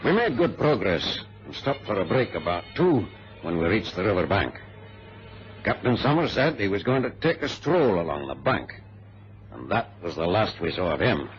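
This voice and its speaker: An actor playing a sensible, loyal adventurer and narrator in an old radio drama, speaking in a measured, old-fashioned way. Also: male voice, low voice, very animated, faint music behind. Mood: grave narrating. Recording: muffled, noisy vintage radio recording.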